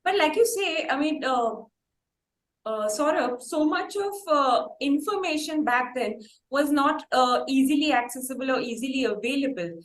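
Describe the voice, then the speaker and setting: A woman's voice: high voice, expressive, moderate pace. A woman with an Indian accent hosting a casual interview, asking wordy, roundabout questions. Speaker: podcast host and interviewer. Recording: roomy, echoing sound.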